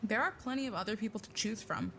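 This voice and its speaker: A woman's voice: mid-range voice, fast talker, gravelly, pushed and bright, slight background noise. A woman playing a guarded, bitter secret agent in an audio drama, terse and sardonic. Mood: guarded, curt. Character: guarded, bitter, curt female covert agent.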